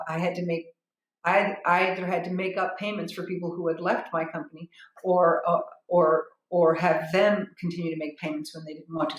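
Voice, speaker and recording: A woman giving an unscripted spoken review as a business owner, frank and matter-of-fact, with halting phrasing and repeated words. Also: lowish voice. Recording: roomy, echoing sound.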